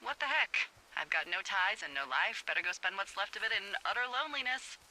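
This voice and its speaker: A woman's voice: medium pitch, lively intonation, fairly quick, pushed and bright, rough recording. A woman playing a wry, teasing American fire lookout in a naturalistic video game, chatty and sardonic, heard over a two-way radio. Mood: sardonic, explanatory.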